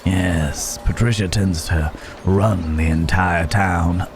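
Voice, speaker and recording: Man acting a low, slow-drawling roguish cowboy. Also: steady tone, over music. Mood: dark, knowing. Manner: drawling and halting, with teasing tag questions. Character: roguish, wry Wild West cowboy outlaw with a protective streak. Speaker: audio roleplay voice actor. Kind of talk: ASMR roleplay acting, addressed to the listener.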